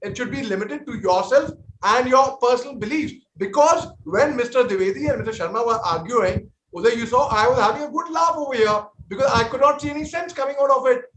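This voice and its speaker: Earnest high-voiced Indian man, echoey. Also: fairly quick, few pauses, a bit bright, a little hiss or hum. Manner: earnest, conciliatory, often repeats and restarts words. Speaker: army veteran panelist on a TV debate. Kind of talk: televised panel debate.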